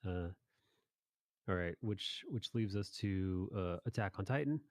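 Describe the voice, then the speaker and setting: A man's voice: low voice, lively intonation, a little slow, a little mellow, no room sound, studio quality. A man hosting a casual chat about anime, talking informally in rambling recaps with some swearing. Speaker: podcast host.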